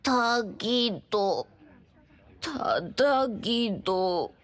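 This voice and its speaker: A woman voicing a small, excitable game creature with a squeaky, childlike voice, babbling in repeated nonsense syllables. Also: very slow. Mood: subdued. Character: small excitable oddity creature babbling only its own name.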